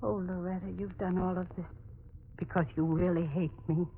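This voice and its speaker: A woman's voice: on the low side, fairly even intonation, normal speed, natural voice. An actress playing a haunted young woman in a 1940s radio thriller, melodramatic, anguished and hesitant in delivery. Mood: bewildered. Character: frightened young woman haunted by a supposed ghost. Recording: muffled, hissy 1940s radio recording.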